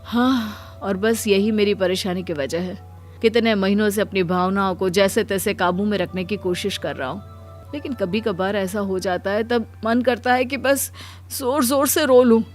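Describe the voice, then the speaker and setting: A woman's voice: medium pitch, moderate pace, soft background music, lightly acted. A woman voicing a weary, compassionate male doctor in a cartoon moral story, speaking earnestly. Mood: sad, burdened.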